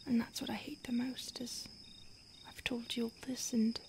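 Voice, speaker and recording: A woman voicing a starved, remorseful, centuries-old female vampire in a soft, breathy, halting whisper for an ASMR roleplay. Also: a little high, flat delivery, close to an everyday voice. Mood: anguished, apologetic. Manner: slow, intimate, halting.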